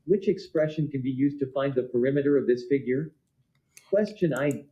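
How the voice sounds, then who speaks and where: A synthetic computer voice reading test questions aloud in a flat, literal way, with a muffled playback sound. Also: medium pitch, normal speed.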